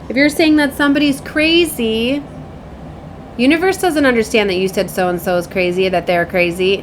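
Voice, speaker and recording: A woman's voice: mid-range voice, background noise. A woman in her late thirties giving a casual, rambling spiritual reading as a tarot reader, with an emphatic manner.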